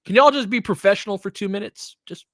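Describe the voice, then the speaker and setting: A man's voice: high-pitched, fast, fairly bright tone, dry recording, clean studio sound. A man doing informal basketball play-by-play as a host, chatting conversationally and thinking aloud. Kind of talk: casual basketball commentary mixed with chat replies.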